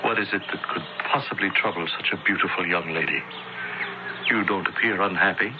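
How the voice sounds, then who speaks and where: A deep-voiced, crafty pagan high priest, acted by a man in an old radio drama. He speaks with deliberate, theatrical insinuation, sounding smooth and powerful. The muffled recording is loud with noise. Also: lightly acted. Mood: amused, probing. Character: sly, smooth-talking, powerful pagan high priest, master of dark knowledge. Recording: dull, very noisy old radio broadcast.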